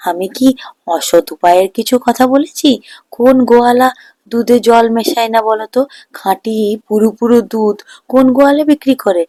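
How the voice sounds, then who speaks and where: A woman's voice: on the high side, warm tone, dry recording, natural voice. Scheming fairy-tale milkman's wife, voiced by a woman: dry studio sound, nagging, scornful, money-minded. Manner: coaxing, scornful. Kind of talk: Bengali fairy-tale cartoon voice acting.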